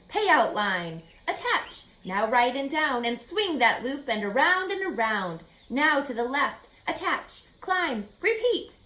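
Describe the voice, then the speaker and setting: A woman's voice: high voice, sharp tone, reverberant, slight background noise, voice pushed up, full character voice. A woman voicing a busy female storybook spider, chanting clipped, encouraging instructions to herself as she works.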